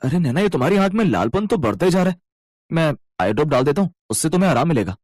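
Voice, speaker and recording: A man playing a husband in a family story, speaking in an animated, emphatic way. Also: fairly high pitch, fast, no room sound. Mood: concerned. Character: male in-laws, expressive husband in family story.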